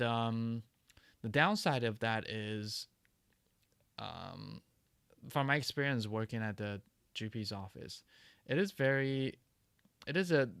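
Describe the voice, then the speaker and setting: Gravelly-voiced man chatting on a livestream. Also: medium pitch, fairly bright tone, dry recording. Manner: halting, many restarts and fillers. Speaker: livestream host. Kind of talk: casual livestream chat answering viewer questions.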